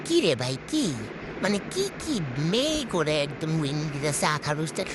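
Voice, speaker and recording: A woman's voice: sits low, lively intonation, unhurried, background noise. A woman with a Bangladeshi accent giving casual, exclamatory gaming commentary through a voice changer, talking to herself and repeating words. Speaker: gaming video host.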